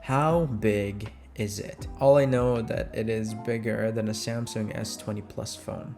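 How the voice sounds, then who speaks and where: A man's voice: lowish voice, steady tone, a little slow, darkish tone. A young adult man chats casually and informally as an audio creator answering fan questions, close on the microphone. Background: music.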